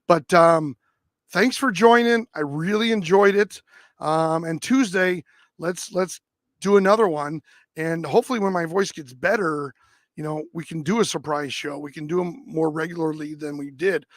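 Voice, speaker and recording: Casting: male livestream host, high-pitched and raspy, recorded dry, casual and rambling delivery. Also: moderate pace, dry recording. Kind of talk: casual livestream chat and clip commentary.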